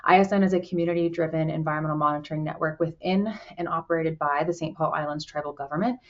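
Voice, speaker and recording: A woman's voice: deep voice, fairly even intonation, fast talker. A woman from Colorado, a program director, giving an earnest conference presentation with frequent "um"s over a video call. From Colorado, USA. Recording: muffled video call audio.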